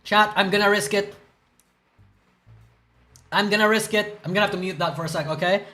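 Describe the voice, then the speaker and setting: Young male streamer, high-pitched, rambling and profane, groggy and stuttery, faint music behind. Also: steady tone, a little fast, bright, forceful tone. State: groggy from a hangover. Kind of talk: casual livestream chat reacting to news.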